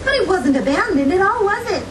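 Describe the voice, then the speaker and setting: A woman's voice: extremely high pitch, big pitch swings, a little fast. A woman playing a sweet, gracious young storybook princess, telling a story to children live in a theatrical, encouraging way and asking them questions. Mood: animated storytelling. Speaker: theme park storytelling performer. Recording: distant, echoey, noisy amateur recording among crowd. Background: audience crowd noise.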